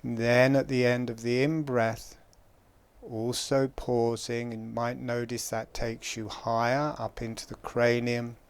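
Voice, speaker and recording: A man guiding a breathing meditation, giving calm, gentle instructions with long pauses. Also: mid-range voice, clear, resonant tone. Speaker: meditation guide.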